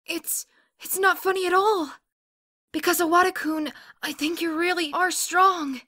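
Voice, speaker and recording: A woman voicing a timid, gentle teenage boy in a fan manga dub, hesitant and soft, with stammers. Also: extremely high pitch, steady tone, measured pace, dry recording, clean studio sound, full character voice. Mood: timid, pleading.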